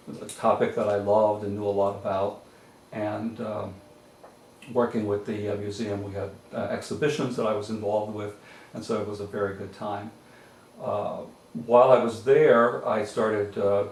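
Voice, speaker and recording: An older man from western Massachusetts, a native French speaker, answering interview questions in a measured, reflective way with many pauses. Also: lowish voice. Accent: mix of French Canadian and American. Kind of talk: oral history interview. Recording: roomy audio from a distance with faint hiss.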